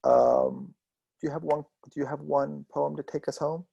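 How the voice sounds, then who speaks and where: A man with a gravelly, fry-laced voice moderates a conversation. His tone is dark and mellow, and the sound is dry and close. He rambles enthusiastically through many "uh"s and "um"s. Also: on the high side, wildly swooping pitch, normal speed, no room sound, dull audio. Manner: rambling, filler-heavy, enthusiastic. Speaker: host and moderator. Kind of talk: moderating a literary conversation over video call.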